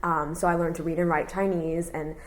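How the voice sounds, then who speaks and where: A woman in her thirties from Texas, whose first language was Cantonese, recounting her life in an oral history interview, relaxed and rambling with many fillers. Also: on the low side, brisk. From Houston, Texas. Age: 30s.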